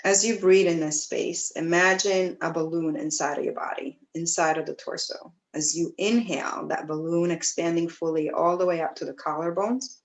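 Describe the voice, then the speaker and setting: A woman teaching yoga, guiding breathing and meditation in a gentle, soothing way, with pauses between phrases. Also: fairly low pitch, steady tone, rough recording. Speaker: yoga teacher leading a class. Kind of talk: guided breathwork and meditation instruction.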